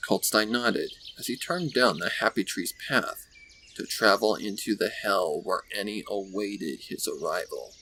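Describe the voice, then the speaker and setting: A man's voice: lowish voice, unhurried, pushed and bright, a little hiss or hum, low-quality recording. A man reading scripted story narration as the narrator of a dramatic tale.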